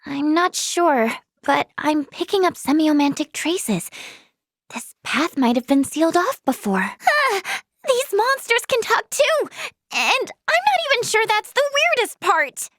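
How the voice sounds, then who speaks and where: A woman playing an earnest, bubbly young female healer and scholar in a video game, speaking in a girlish, expressive way. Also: high-pitched, unhurried, no room sound, studio quality. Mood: puzzled.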